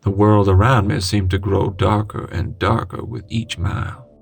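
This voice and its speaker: A man reading a scary first-person story in a dramatic, suspenseful way with deliberate pauses. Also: on the low side, level tone, creaky. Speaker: horror story narrator. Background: music.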